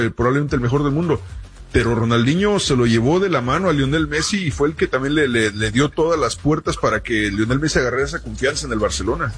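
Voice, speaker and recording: A man's voice: mid-range voice, husky. A man hosting a conversational sports radio interview, putting long, deferential questions to his guest. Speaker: radio host.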